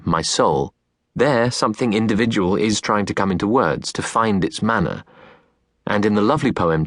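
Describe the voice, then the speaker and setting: Male audiobook narrator, lowish register, earnest and deliberate literary read, dry close studio sound. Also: no echo. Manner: measured, earnest, deliberate phrasing. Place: recording studio.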